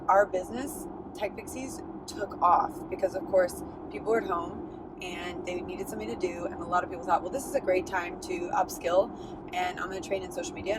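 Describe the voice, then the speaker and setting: A middle-aged woman talks through a solo podcast episode in a lower voice, frank and loose, with plenty of fillers and restarts. Her pitch sits in a middle range. Clear, steady background noise runs under the recording. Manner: candid and chatty, many fillers and restarts. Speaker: podcast host. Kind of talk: casual solo podcast monologue.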